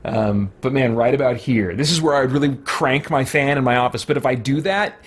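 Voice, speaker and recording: A man's voice: medium pitch, fairly quick. An American man chatting casually and in a rambling, laid-back way as he streams a game from his home office on a microphone with a pop guard. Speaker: let's play streamer. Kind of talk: casual gameplay commentary, chatty.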